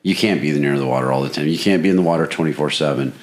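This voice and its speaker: A man's voice: extremely low pitch, rapid-fire, slight background noise. A man hosting a podcast in casual interview chat, recapping the guest's story and asking leading questions with a tagged "right?". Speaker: podcast host.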